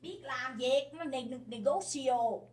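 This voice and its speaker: A woman talking in a chatty, lively way in a short played clip. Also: sits high, slow pace.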